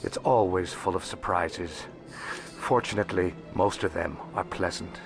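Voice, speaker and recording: A shrewd alien dealer in secrets from a sci-fi game, with a deep voice. He speaks formally and carefully, calm and worldly, with music playing behind him. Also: unhurried, vocal fry. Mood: admiring, polished. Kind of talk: video game dialogue acting.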